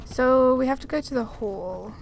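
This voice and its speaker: A woman commenting casually as she plays a game. Her voice is high, and she chats in a rambling, apologetic way or reads dialogue aloud. There is faint noise behind her. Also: moderate pace, vocal fry. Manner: chatty, apologetic, tangential. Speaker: gaming streamer.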